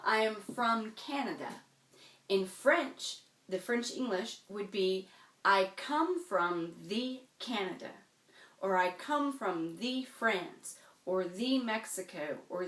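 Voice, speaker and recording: A woman's voice: mid-range voice, animated, takes it slowly, fairly bright tone. A woman teaching a French grammar lesson, explaining patiently step by step and often checking in with "okay?". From United States. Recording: echoey room, voice from a distance.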